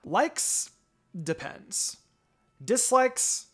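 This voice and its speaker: A man voicing a calm, blunt, determined young ninja girl in a fan fiction reading. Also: very high voice, very slow, breathy edge, pitched above the performer's own voice, full character voice. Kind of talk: fan fiction character dialogue. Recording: music behind the voice.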